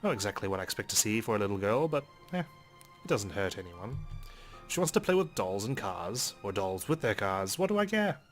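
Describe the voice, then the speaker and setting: Man reading a visual novel, slightly low. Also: very animated, fairly quick. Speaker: let's play host. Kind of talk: reading a visual novel aloud with casual commentary. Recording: faint game music behind. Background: game music.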